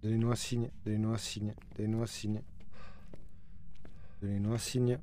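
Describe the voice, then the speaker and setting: A man's voice: on the low side, little pitch movement, normal speed, warm tone, a little hiss or hum. A man streaming a horror game, chanting the same questions at ghosts over and over and talking to his viewers now and then. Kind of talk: live gameplay streaming.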